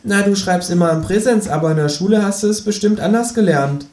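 A man's voice: high-pitched, brisk, darkish tone, distant mic, voice pushed up, lightly acted. A man playing a smug, cheeky kangaroo. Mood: teasing. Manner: flippant, teasing, know-it-all. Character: cheeky smug male kangaroo, lazy, quick-witted. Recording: echoey room, faint noise.

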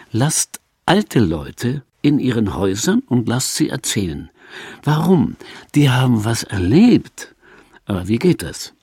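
A man narrating an audiobook in a dry, wry tone. His voice is gravelly and a little husky, moving lively through its pitch range, and the recording is close and dry. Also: dry recording.

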